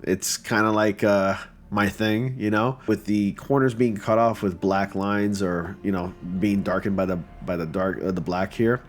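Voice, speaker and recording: Stuffy, deep-voiced man chatting. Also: steady tone, moderate pace. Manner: chatty and enthusiastic, with many fillers. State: stuffy from a cold. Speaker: YouTuber reviewing fan photo submissions. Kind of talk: casual commentary.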